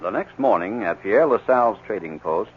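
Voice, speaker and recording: A man's voice: on the low side, expressive, normal speed, over music. A man narrating and announcing a 1940s radio adventure in a dramatic scripted style. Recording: dull 1940s radio recording.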